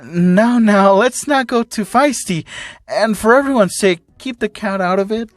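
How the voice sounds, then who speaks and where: A man's voice: sits high, a little slow, no room sound, voice pushed up. A man voicing a timid, playful teenage cat-boy hero student in a story reading, hesitant and pleading. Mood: nervous.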